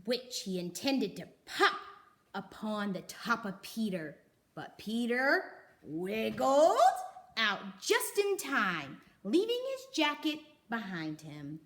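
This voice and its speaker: A woman reads a children's storybook aloud at a slow, deliberate pace. Her pitch moves a great deal, and she leaves dramatic pauses for young listeners. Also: very animated, fairly bright tone. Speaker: storybook reader.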